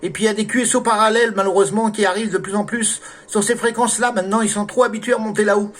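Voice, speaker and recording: High-voiced man chatting on radio. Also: steady tone, very fast, fairly bright tone, slight background noise. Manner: frequent "hein" and "là" tags. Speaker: amateur radio operator. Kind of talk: casual radio contact chat. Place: at home radio station. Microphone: desk microphone through a mixer.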